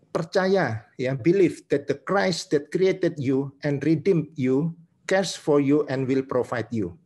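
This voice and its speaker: A man's voice: medium pitch, darkish tone. A man with an Indonesian accent preaches in a didactic way, reading scripture and explaining it phrase by phrase with short confirming tags.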